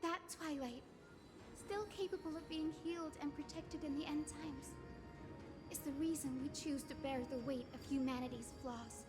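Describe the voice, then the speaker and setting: A woman voicing a young, gentle heroine in a game, speaking with tender, earnest resolve. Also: sits high, steady tone, normal speed, lightly acted. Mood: earnest, hopeful. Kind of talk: dramatic scripted game dialogue. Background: game music.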